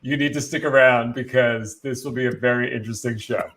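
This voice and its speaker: A man co-hosting a casual live show, presenting informally to viewers with halting, repeated phrases for emphasis. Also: medium pitch, steady tone, fairly bright tone. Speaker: talk show co-host.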